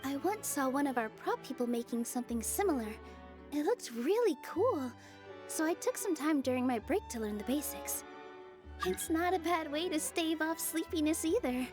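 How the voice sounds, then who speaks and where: A gentle young woman cast as a forest fairy in a video game. Her voice is high, soft and thoughtful, earnest in delivery, with music behind it. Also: expressive, moderate pace.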